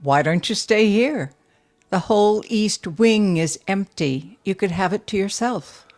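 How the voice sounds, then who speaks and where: A woman's voice: mid-range voice, animated, unhurried, a little mellow, natural voice. An actress playing a curious, insistent lady of a big house in an audio drama, talking in a probing, coaxing way.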